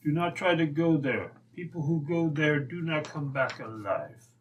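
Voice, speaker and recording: A man's voice: on the high side, animated, moderate pace, lightly acted. A man playing an ominous, surly old French coachman with a French accent, grave and terse, in an old-time radio drama. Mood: foreboding. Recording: distant from mic, noisy.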